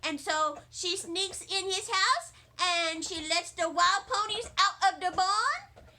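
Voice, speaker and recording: Woman voicing an excitable, very high-pitched little girl. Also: slow pace, heavily acted. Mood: gleeful, vengeful. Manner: childish grammar, emphatic, breathless repetition. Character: excitable, indignant little girl, kindergarten age, storybook narrator-heroine.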